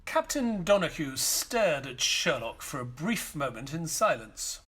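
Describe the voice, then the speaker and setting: An actor playing a loyal, melancholy doctor, narrating an audio play in a measured, wistful manner. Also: male voice, a little high, sing-song, fairly bright tone, breathy edge, exaggerated voice. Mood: grave. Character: British doctor, loyal, melancholy friend narrating his memories.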